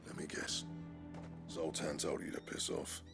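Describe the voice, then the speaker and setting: An actor playing a proud, weary exiled smith in a game, gruff and brooding, with a husky, gravelly voice. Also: male voice, rumbling low, completely flat delivery, measured pace, over music, full character voice. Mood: bitter, regretful. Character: weary, proud male master smith, guilt-laden exile. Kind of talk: video game drama acting.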